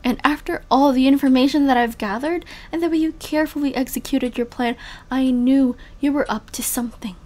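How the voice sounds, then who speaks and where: A woman performs a young female spy in an emotional audio drama. Her voice sits high. She is guarded and bitter, carrying the hurt of betrayal. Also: brisk, hardly any pauses, a little mellow, close to an everyday voice. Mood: accusing, hurt. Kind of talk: emotional audio roleplay acting.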